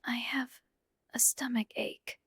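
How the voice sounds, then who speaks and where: A woman leads a slow language drill, saying each short line again and again, often in a whisper. Her voice is husky and breathy, recorded close in a dry, dead room. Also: a little high, fairly even intonation, fairly bright tone, dry recording. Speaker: English teacher. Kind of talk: slow repeated phrase drills.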